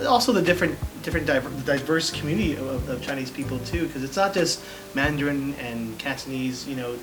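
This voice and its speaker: A man's voice: mid-range voice, quick pace, music in the background, rough recording. A man hosting a casual show, talking with lots of fillers and restarts. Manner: hesitant, many fillers and restarts. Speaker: host. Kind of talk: casual chat.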